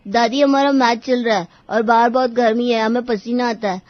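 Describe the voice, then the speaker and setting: Woman doing spoiled little grandson, lazy, whiny, high voice, cartoon tale, dry recording. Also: fairly even intonation, normal speed, dry recording, lo-fi sound, close to an everyday voice. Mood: wheedling.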